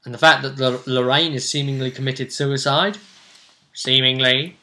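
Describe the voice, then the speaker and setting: A man's voice: medium pitch, steady tone, normal speed, pushed and bright. A man acting as game master, casually recapping the story of a tabletop role-playing game.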